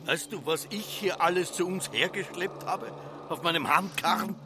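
A man's voice: high-pitched, moderate pace, noisy background. A middle-aged actor with an Austrian accent playing an obsessed, embittered farmer father in a literary radio drama, brooding and incantatory in manner. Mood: agitated.

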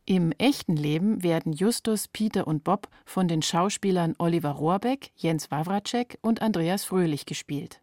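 A woman's voice: lowish voice, normal speed, few pauses, a little mellow, no room sound, studio quality. A woman reporter reading scripted narration for a radio feature.

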